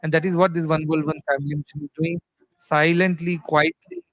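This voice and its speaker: A man's voice: on the high side, fairly even intonation, fairly quick, fairly bright tone, no room sound, rough recording. A man giving an earnest, aphoristic formal speech at a festival.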